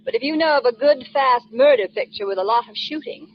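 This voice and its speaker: A woman playing a reserved young librarian in 1940s radio drama, speaking every word clearly and precisely. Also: sits high, brisk. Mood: coy. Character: prim young female librarian turning bold. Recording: dull lo-fi 1940s broadcast with faint hiss.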